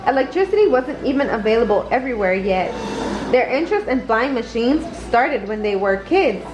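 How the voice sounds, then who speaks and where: A woman reading a children's picture book aloud in a deliberate, expressive storytelling manner. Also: a little high, noisy background. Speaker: storybook reader.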